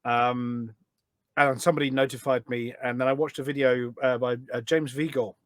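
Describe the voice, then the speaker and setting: A man's voice: mid-range voice, level tone, normal speed, no room sound. A middle-aged man chatting casually as a podcast guest, enthusiastic and full of anecdotes. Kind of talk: casual chat. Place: indoors at a desk at home.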